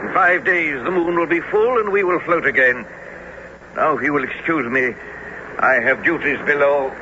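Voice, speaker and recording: An actor in a radio drama playing a brooding, vengeful submarine captain, formal and commanding in manner. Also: male voice, on the high side, normal speed, natural voice. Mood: calm, assured. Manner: imperious, formal. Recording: noisy, muffled old radio broadcast.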